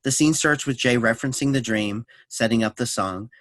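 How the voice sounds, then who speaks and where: A man's voice: mid-range voice, normal speed, a bit bright, no room sound. A man reading scripted narration and stage directions for a staged reading of a play.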